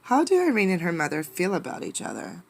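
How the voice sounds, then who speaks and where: A woman reading a storybook aloud as a teacher, expressively, pausing to ask listeners questions. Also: lowish voice, sing-song. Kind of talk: interactive storybook read-aloud.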